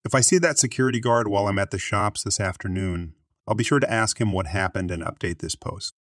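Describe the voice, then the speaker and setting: A man narrating online stories aloud in a steady, even scripted reading voice. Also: fairly low pitch, a little fast, no echo, clean studio sound.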